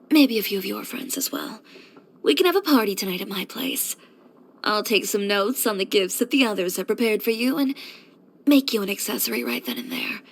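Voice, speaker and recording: A woman's voice: mid-range voice, natural voice. A young woman voicing a confident, blunt fashion designer and boutique owner in a scripted video game, with a poised, direct delivery. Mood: brisk, generous. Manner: self-assured, direct, poised with dry sighs.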